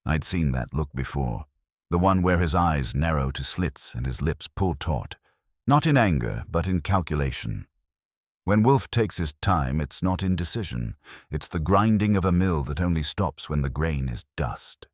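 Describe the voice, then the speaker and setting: A man with an extremely low voice narrates a detective audiobook in the first person. His intonation moves widely from phrase to phrase. The sound is close and dry, with no room around it. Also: animated, normal speed, no echo.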